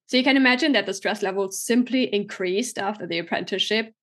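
A woman's voice: mid-range voice, fast talker. Upbeat German-accented woman hosting a podcast. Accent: German, with British English touches. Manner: upbeat, chatty, energetic. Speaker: podcast host and coach. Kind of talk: casual solo podcast storytelling.

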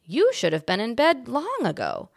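A woman's voice: fairly high pitch, wildly swooping pitch, moderate pace, creaky, dry recording, clean studio sound, pitched above the performer's own voice, heavily acted. A woman doing a folksy, kindly old bellboy. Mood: friendly. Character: kindly old man, a hotel bellboy, friendly.

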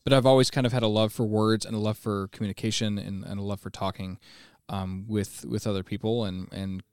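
A man's voice: fairly low pitch, flat delivery, brisk, clear, resonant tone, no echo. A man in his twenties with an American accent, giving enthusiastic, explanatory answers in a conversational interview as a teacher. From United States. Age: 20s. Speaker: ESL teacher, interview guest.

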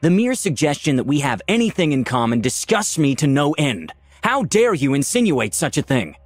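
A man's voice: medium pitch, sing-song, a little fast, husky, no echo, faint music behind, voice pushed up. A man voicing an indignant, self-righteous female paladin in a fantasy story, haughty and emphatic. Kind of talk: dramatised fantasy story reading.

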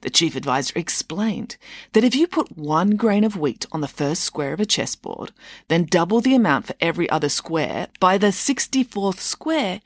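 A woman narrating a children's story in an expressive, dramatised reading style. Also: fairly low pitch, moderate pace, no echo, studio quality.

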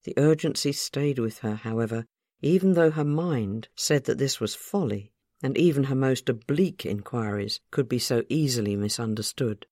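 A woman reading literary fiction aloud as a scripted narration, in a measured, careful storytelling manner. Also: very deep voice, warm tone, dry recording, clean studio sound. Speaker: author reading own short story.

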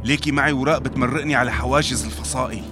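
An actor playing a determined rescuer from the Damascus countryside, speaking with a Syrian accent in an earnest, coaxing way with heavy sighs. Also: male voice, medium pitch, steady tone, racing, lightly acted. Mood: practical. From Madaya, Syria. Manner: earnest, coaxing, sighing heavily. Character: determined male rescuer, warm, protective, stubbornly hopeful. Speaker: civil defense rescuer. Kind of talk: radio drama acting. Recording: music bed behind the voice. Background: music.

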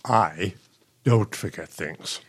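An actor playing a smug, exasperated but caring elderly husband, a retired dean, in a radio play, speaking in a dry, testy way. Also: male voice, low-pitched, slow pace, husky, full character voice.

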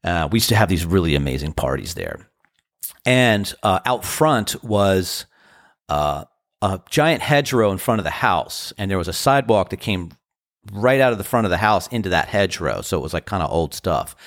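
A man in his fifties from the Washington DC area, hosting a podcast in a casual, earnest, rambling monologue, his voice deep and tired after a show. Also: big pitch swings, moderate pace, dry recording, studio quality. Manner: earnest, rambling, grateful storytelling. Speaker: podcast host and band musician.

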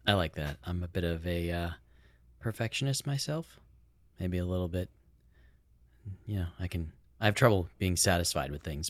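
Male podcast co-host, very low voice, dry close recording, easygoing reflective delivery. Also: no echo. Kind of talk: casual chat.

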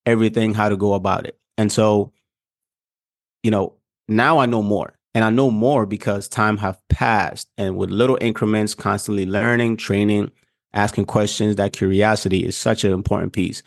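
A man's voice: on the low side, fairly even intonation, normal speed, gravelly, dry recording, clean studio sound. A young man from Ghana, co-hosting a podcast, talking in an earnest, reflective way in casual chat. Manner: earnest, reflective, loosely structured. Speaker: podcast co-host.

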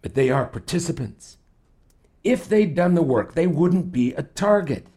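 Smooth, condescending, slightly husky professor. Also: male voice, a little high, expressive, warm tone. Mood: lecturing, insistent. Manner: measured, patronizing, effusive praise with deliberate pauses. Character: smooth, flattering, condescending manipulative male psychology professor. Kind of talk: fiction podcast audio drama acting.

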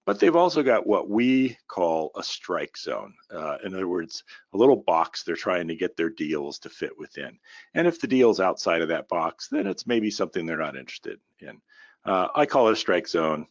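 A middle-aged man with a very low, slightly raspy and tired voice talks through a solo business podcast. He rambles folksily, with plenty of ums and uhs. The sound is dry and close, a little dull and muffled. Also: big pitch swings, normal speed, no room sound. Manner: folksy, with many fillers and baseball analogies. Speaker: podcast host and company founder.

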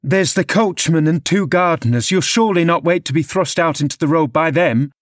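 A man acts out a practical serving woman from a classic novel. The pitch is raised above his own and the delivery is firm and cautioning. The sound is dry. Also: fairly quick, no room sound, natural voice. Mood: warning. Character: female household servant, cautioning and practical.

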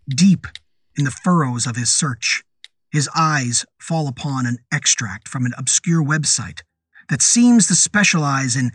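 A man performs dramatic horror narration in a husky, slightly higher-set voice. He phrases deliberately and builds suspense with pauses. The recording is very dry and close. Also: a bit bright, no room sound.

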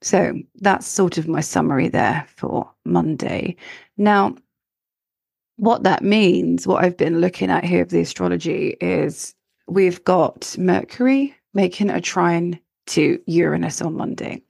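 A woman in her thirties hosts her own podcast with a croaky, gravelly voice that sits slightly low. She chats in a rambling way, often restarting her sentences. The sound is close and dry, with no room around it. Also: a little slow, darkish tone, dry recording. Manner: chatty, rambling with many restarts and fillers. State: croaky voice from illness. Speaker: astrologer and podcast host. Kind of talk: solo podcast talk.

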